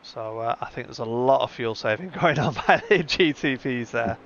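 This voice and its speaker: A man's voice: medium pitch, moderate pace, creaky, fairly bright tone. A man hosting a live sim racing stream with casual, conversational commentary, thinking aloud as he goes. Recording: muffled lo-fi audio with background noise.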